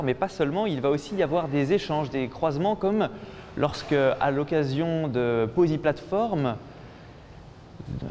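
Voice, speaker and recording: A man giving an improvised spoken performance in an exhibition space, talking slowly and reflectively with many pauses. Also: a little high, mellow, slight background noise. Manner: slow, musing, reflective delivery with many pauses. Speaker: performance artist. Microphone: camera mic.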